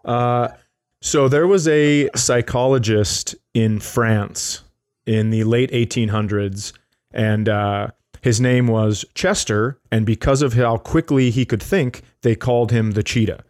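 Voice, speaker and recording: A man's voice: mid-range voice, slow, darkish tone. A middle-aged man, a comedy podcast co-host, telling stories casually in a rambling, jokey way. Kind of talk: casual comic storytelling.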